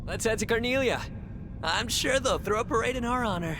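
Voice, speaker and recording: Chatty upbeat young game hero, bouncy pitch, noisy background. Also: male voice, animated, fairly quick. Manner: casual, chatty. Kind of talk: dramatic video game acting. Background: noisy ambience.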